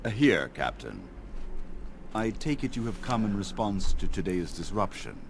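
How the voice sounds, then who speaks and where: An actor playing a grave, calm old elven elder in a fantasy video game, measured and weary, with a gravelly voice. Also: male voice, on the low side, a little hiss or hum.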